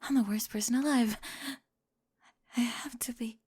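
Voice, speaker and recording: A woman playing a remorseful, lovestruck high school cheerleader in an ASMR roleplay, breathy and hesitant, often dropping to a whisper. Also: fairly even intonation, measured pace, mellow. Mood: remorseful, vulnerable. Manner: hesitant, emotional, confessional. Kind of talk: ASMR romantic roleplay monologue.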